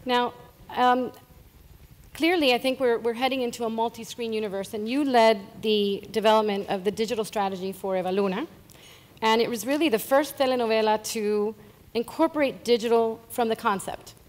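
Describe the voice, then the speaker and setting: A woman's voice: on the high side, normal speed. A woman moderating an onstage interview, hesitant and repeating words as she frames her questions. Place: conference stage before a seated audience. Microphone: handheld mic. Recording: reverberant conference hall.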